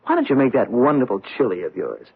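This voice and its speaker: An actor playing an affable, unsuspecting husband in a radio drama, easygoing and casually hesitant. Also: male voice, mid-range voice, sing-song, fast, vocal fry, slightly rough, exaggerated voice. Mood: amused, placating. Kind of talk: old-time radio drama acting. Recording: tinny, band-limited vintage radio broadcast.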